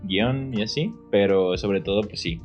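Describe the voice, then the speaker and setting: Low-voiced Mexican man chatting casually. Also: a little slow, soft background music. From Puerto Vallarta, Mexico. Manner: slangy, peppered with tag questions. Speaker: podcast guest, actor. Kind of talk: casual chat.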